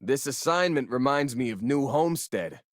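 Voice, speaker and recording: A man's voice: mid-range voice, lively intonation, normal speed, dry recording, close to an everyday voice. A male actor plays an upbeat young male space miner in a video game. He talks casually and eagerly, with a wisecracking streak. The sound is dry, with no room on it. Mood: upbeat, joking. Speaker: game companion character.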